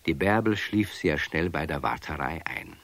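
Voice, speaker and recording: An actor as the storyteller of a children's radio play, narrating from a script and addressing young listeners. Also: male voice, rumbling low, expressive, moderate pace, a bit bright, heavily acted. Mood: measured storytelling.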